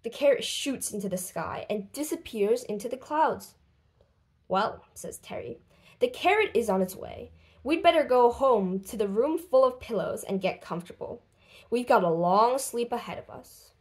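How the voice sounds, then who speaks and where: A woman reading a children's book aloud expressively, with deliberate, dramatic phrasing and lifted voices for dialogue. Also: fairly high pitch, lively intonation, a little mellow. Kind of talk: expressive storybook read-aloud.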